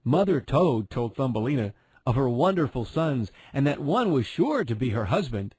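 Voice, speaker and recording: A man's voice: medium pitch, very animated, normal speed, a little mellow, breathy edge, no room sound. A man narrating a fairy tale as a scripted storyteller.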